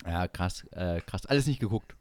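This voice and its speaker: A man's voice: low voice, expressive, normal speed, a little mellow, clear, resonant tone, no echo, studio quality. A man from East Germany chatting casually and jokingly as a podcast host, often searching for words. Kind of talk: casual comic chat.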